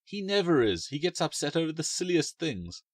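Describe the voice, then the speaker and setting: A man voicing a cheeky, excitable young boy who whines and protests. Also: a little high, normal speed, dry recording, voice pushed up. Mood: complaining.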